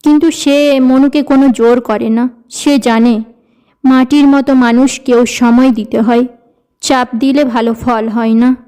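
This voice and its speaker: A woman narrates a cartoon story from a script. Her voice is high and very smooth, with a mellow, rounded tone that suits a storybook. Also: steady tone, measured pace.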